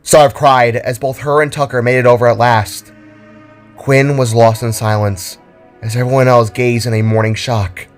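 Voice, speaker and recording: A man's voice: medium pitch, steady tone, normal speed, faint music behind. A man narrating a scripted, dramatic story.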